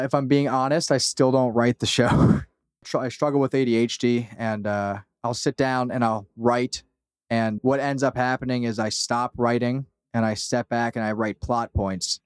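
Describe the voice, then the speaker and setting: A man's voice: mid-range voice, clean tone, no echo. A man in his thirties chatting casually as an interview guest, candid and self-deprecating about himself. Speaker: podcast creator guest.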